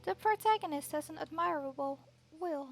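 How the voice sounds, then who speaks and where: High-voiced woman streamer reading aloud. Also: normal speed, music in the background. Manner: steady reading with occasional word restarts. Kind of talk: reading game text aloud.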